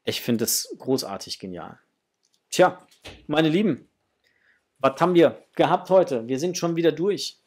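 A man's voice: fairly high pitch, expressive, unhurried, pauses often. A middle-aged man hosting a toy unboxing, chatting casually and enthusiastically to his viewers in a gushing way. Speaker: host of a toy collecting channel. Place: small home room indoors.

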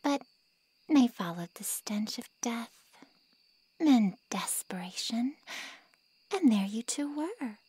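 Snide man-eating she-monster , played by a woman for ASMR roleplay: breathy, taunting, unhurried, very dry sound. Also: fairly high pitch, animated, warm tone, dry recording, full character voice, low-quality recording. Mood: teasing, sardonic. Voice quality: breathy, often half-whispered. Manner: sarcastic, insulting, teasing drawl. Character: snide, taunting female monster who feeds on men.

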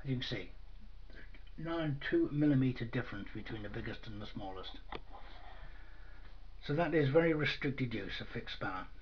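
A man's voice: mid-range voice, expressive, moderate pace, long pauses. A man gives an informal hands-on demonstration in a matter-of-fact, dry, understated way, recorded indoors at a workbench on a camera microphone. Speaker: product demonstrator. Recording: dull lo-fi sound with clear noise.

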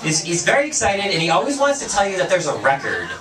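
A young man performing a stand-up comedy set on a small bar stage, talking casually and dropping into quick voiced asides. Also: sits high, wildly swooping pitch. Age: young adult. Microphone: handheld mic, far camera. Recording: echoey bar from far off, crowd laughter.

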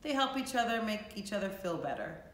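A woman's voice: medium pitch, very animated, fairly quick, a little hiss or hum. A woman reading a children's storybook aloud like a teacher, expressively and speaking directly to young listeners. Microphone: camera or phone a few feet away. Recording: echoey room.

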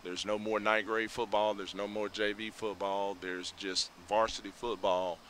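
A middle-aged man talks things over in a low, forceful voice, pausing often with fillers. He speaks into a headset mic outdoors at night, with faint outdoor sound behind him. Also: level tone, a little hiss or hum. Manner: measured, reflective, many fillers. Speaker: head football coach. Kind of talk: postgame interview. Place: outdoors by a school building at night.

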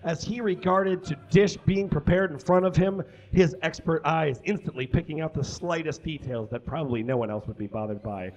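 Male live reader, theatrical comic delivery, voice on the higher side, somewhat muffled recording. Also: darkish tone. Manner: theatrical, emphatic, with stumbles over words. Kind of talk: comic dramatic reading of fan fiction to an audience. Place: small indoor stage with curtains.

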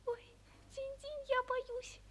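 A woman's voice: very high voice, level tone, slow pace, a bit bright, heavily acted. A woman voicing a timid, kindly little bell boy with a ringing voice in a 1940s radio play. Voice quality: tiny, piping, ringing.

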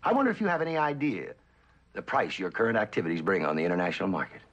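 An actor playing a sly, cynical spy and false friend in a theatrical mid-century TV drama style. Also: male voice, deep voice, very animated, a bit bright, full character voice. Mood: musing, cynical. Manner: theatrical, sly, musing with dramatic pauses and chuckles. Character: suave, cynical spy, a traitorous best friend who loves the game. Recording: dull old television soundtrack.

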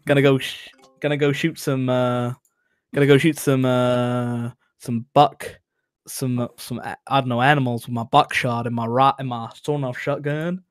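A man's voice: mid-range voice, level tone, normal speed, clear, resonant tone, no room sound, clean studio sound, close to an everyday voice. A man putting on a mocking, over-the-top impression of a brash Southern American man.

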